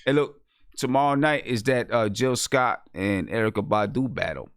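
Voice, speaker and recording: A man's voice: medium pitch, normal speed, clear, resonant tone, no echo, studio quality. An American man hosting a casual live chat show, speaking conversationally and repeating phrases for emphasis. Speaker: host of a hip hop music review show.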